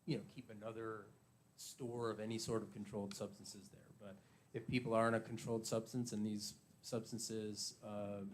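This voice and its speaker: Halting man explaining at a public meeting. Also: fairly low pitch. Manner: halting, filler-heavy explanation. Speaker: addiction treatment provider representative.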